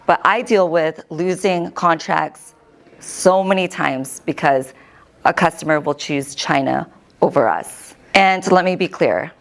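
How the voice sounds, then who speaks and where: An American woman in her thirties, a host giving a lively personal talk to an audience and often quoting herself. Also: low voice, a little slow. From United States. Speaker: host and keynote speaker.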